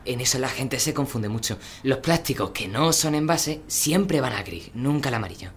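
A man's voice: mid-range voice, animated, moderate pace, natural voice. An eager young student from a radio drama, played by a man, talking in a slangy Andalusian way and stumbling over his words. Faint noise sits under his voice. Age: young adult.

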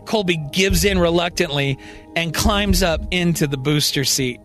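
A male storyteller delivers scripted, dramatic narration for a fiction podcast. His voice is somewhat high for a man, and quiet music runs underneath. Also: moderate pace. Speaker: storyteller, podcast writer and performer.

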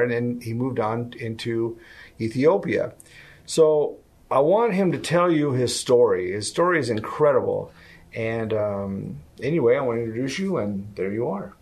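An older man hosting an interview, introducing his guest in a relaxed, informal way. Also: mid-range voice, normal speed. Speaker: host.

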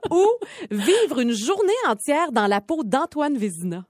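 A woman's voice: high-pitched, big pitch swings, a little slow, no echo. Québécois woman in her forties, dry studio sound. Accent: Québécois French. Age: 40s. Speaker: radio co-host. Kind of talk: casual radio chat.

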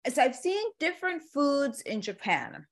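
Higher-pitched chatty woman interviewer. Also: very animated, a little slow. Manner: informal, rambling, joking. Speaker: interviewer, podcast host.

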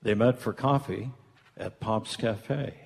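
A man's voice: on the low side, slow, vocal fry, over loud music. A man playing a folksy storyteller, narrating a holiday radio play. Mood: wry, storytelling. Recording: reverberant hall stage recording.

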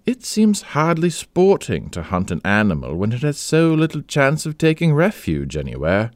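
A man's voice: mid-range voice, huge pitch swings, normal speed, no room sound, voice pushed up. Man putting on a worried pleading wife, voice way up, swings around a lot, dry sound. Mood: anxious. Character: anxious, tender-hearted English wife.

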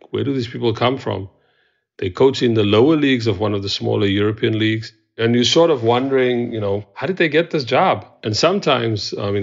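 A man's voice: fairly low pitch, creaky. A man giving conversational, explanatory analysis as an expert in an interview. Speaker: expert commentator. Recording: dull, lo-fi interview audio.

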